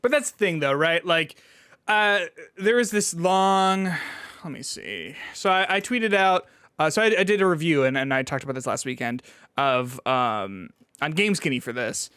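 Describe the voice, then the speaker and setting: Higher-voiced man, clean and close. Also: lively intonation, sharp tone, clear, resonant tone, dry recording. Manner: halting, filler-heavy, starts and restarts thoughts. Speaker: podcast co-host and games reviewer. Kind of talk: casual video game chat.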